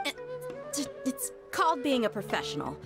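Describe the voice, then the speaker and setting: A woman's voice: sits high, wildly swooping pitch, moderate pace, loud music behind the voice, exaggerated voice. A woman voicing a tough, casual young mercenary bodyguard in a video game, blunt but kind. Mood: defensive, blunt. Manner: laid-back, blunt, confident. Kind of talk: video game character dialogue.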